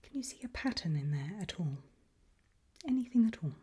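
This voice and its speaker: A woman doing a whispered ASMR doctor roleplay, speaking breathily in gentle, reassuring, halting short phrases close to the microphone. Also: medium pitch, sing-song. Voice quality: breathy, mostly unvoiced whisper. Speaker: ASMR performer role-playing a doctor giving a memory test.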